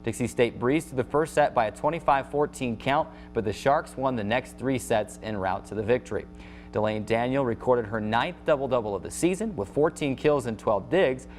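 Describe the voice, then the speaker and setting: An American man hosting a sports show, reading a scripted recap of scores and highlights as an announcer.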